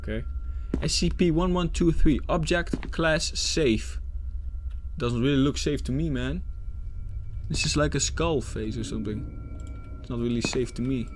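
A man's voice: medium pitch, a little slow, background noise. A young man with a Dutch accent, commentating a horror game as he plays, jumpy and swearing when startled. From Netherlands. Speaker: gaming YouTuber.

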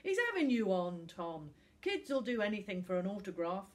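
A woman's voice: mid-range voice, sing-song, normal speed, a little mellow, natural voice. A woman voicing a blunt, scoffing man who works as a driver, in an audiobook story. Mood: scornful. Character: gruff, scornful male driver, blunt and mocking. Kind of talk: audiobook character acting.

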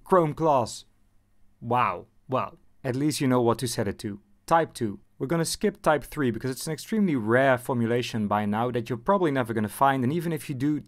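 A man narrating a casual how-to lesson as presenter, explaining things in an informal, wry way. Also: mid-range voice.

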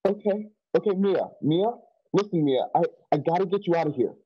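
A man's voice: sits high, expressive, a little fast, husky, no room sound. A young man playing an earnest, frightened frontier youth in a radio western, stammering and hesitant in rustic country speech. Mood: urgent, soothing. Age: young adult. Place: home room on a video call. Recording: dull video-call audio.

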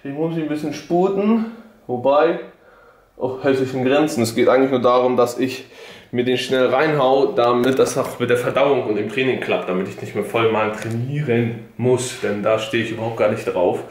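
A man's voice: mid-range voice, moderate pace, roomy sound. Slangy young man vlogging to camera. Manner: loose, slangy and joking, livelier at the gym. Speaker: fitness vlogger. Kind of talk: casual vlog chat. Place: home kitchen, then a large gym. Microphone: camera held at arm's length.

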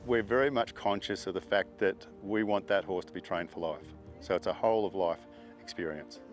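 A man's voice: deep voice, moderate pace, soft background music. A middle-aged man, a horse farm owner, talking casually in an interview, rambling with fillers and restarts.

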